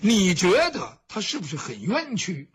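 A storyteller voicing a gentle young male scholar who speaks kindly and calmly, like a teacher. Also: high-pitched, a little hiss or hum, exaggerated voice. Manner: gentle, lecturing, unhurried.

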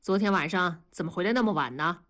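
A woman's voice: lowish voice, quick pace, a bit bright, close to an everyday voice. Role: worn-out middle-aged dad, clipped questions, read by a woman. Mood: weary. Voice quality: tired, curt. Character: weary middle-aged father, worried and tired.

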